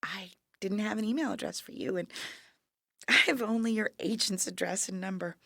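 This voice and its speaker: An actress in an audio play playing a dying younger sister, weary, wry and resigned, with a frail, halting, breathy delivery that drops to whispers. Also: female voice, mid-range voice, pushed and bright, no echo, clean studio sound. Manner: frail, halting, wryly understated. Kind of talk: intimate audio play acting.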